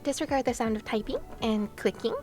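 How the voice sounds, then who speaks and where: A woman's voice: fairly high pitch, a little fast, over music. A woman livestreaming and chatting casually with her viewers, giggly and playful, with gushing exclamations and sing-song asides. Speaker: live streamer.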